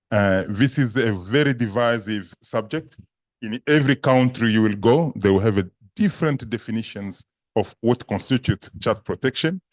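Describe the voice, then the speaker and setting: A man's voice: lowish voice, no room sound. A man from Congo with a French accent, a native French speaker giving a seminar lecture in a big, emphatic voice. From Democratic Republic of Congo. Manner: slow, emphatic listing with a big voice. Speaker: guest lecturer.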